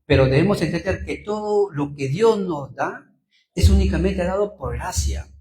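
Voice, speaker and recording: A man's voice: high voice, a little mellow, echoey room. A Peruvian man with a Peruvian Spanish accent preaching as a pastor, telling personal stories, repeating phrases for emphasis and asking rhetorical questions. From Peru. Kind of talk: sermon with personal anecdotes.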